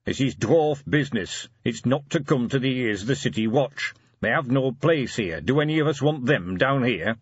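A man performs a stern fantasy dwarf leader from an audiobook, full of contempt and insistence. The voice is husky and secretive. The audio is dry and a little muffled. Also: mid-range voice, expressive, normal speed, hardly any pauses, dry recording, pitched above the performer's own voice. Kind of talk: audiobook character acting.

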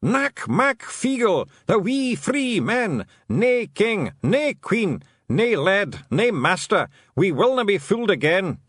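A man's voice: high-pitched, sing-song, slow, few pauses, slightly rough, no room sound, studio quality, pitched above the performer's own voice, heavily acted. A man voicing tiny rowdy blue fighting men with a Scottish accent, boisterous and exclaiming. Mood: defiant chant. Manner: shouting, chanting, boisterous.

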